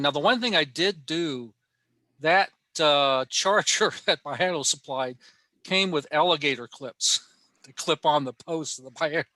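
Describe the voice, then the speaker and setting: A man's voice: mid-range voice, sharp tone, no echo. A man giving an informal presentation and answering questions on an online video call, chuckling now and then as he talks. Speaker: presenter at a ham radio workshop.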